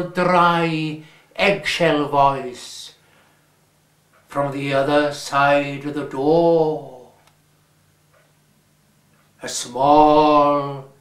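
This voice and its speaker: A man with a Welsh accent reading a story aloud, measured and lingering on his words. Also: fairly high pitch. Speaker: reader. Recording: roomy echo.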